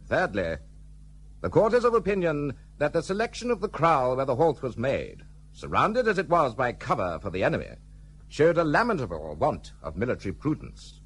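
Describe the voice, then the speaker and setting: An actor playing a stern, solemn military court president in a radio drama, formal and declamatory, on a faintly noisy recording. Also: male voice, a little high, slightly rough, full character voice. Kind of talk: period radio drama acting.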